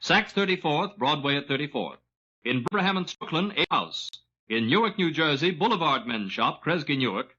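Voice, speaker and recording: A male announcer reading a 1940s radio advertisement with a crisp pitchman delivery. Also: sing-song, normal speed, pushed and bright. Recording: dull lo-fi old radio recording.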